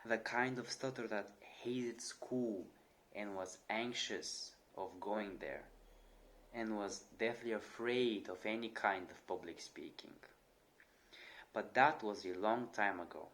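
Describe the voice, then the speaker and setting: A man's voice: on the low side, slow, fairly bright tone, a little hiss or hum. A young man talking earnestly to camera, sharing his own experience, with small repetitions and restarts in his speech. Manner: earnest, reflective, with occasional stutter repetitions. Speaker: channel host. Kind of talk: personal talk to camera.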